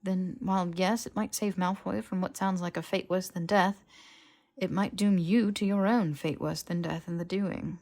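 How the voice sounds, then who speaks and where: A woman's voice: lowish voice, steady tone, normal speed, a little mellow. Lady doing a bossy bookish young witch, precise n wordy, exasperated, sensible, audiobook character. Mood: cautioning.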